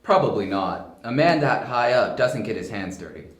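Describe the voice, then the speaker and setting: A man's voice: fairly low pitch, animated, normal speed, natural voice. A man playing a dry, helpful reporter in an amateur audio drama, with an easygoing manner. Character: detective's dry, helpful relative, a sharp-memoried male reporter. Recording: roomy amateur home recording.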